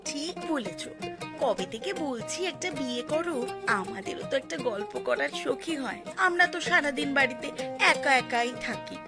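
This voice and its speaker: Actress as village sister-in-law for a cartoon; high voice, caring, talkative, over background music. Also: female voice, animated, moderate pace. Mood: caring, brisk. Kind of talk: Bengali cartoon dialogue.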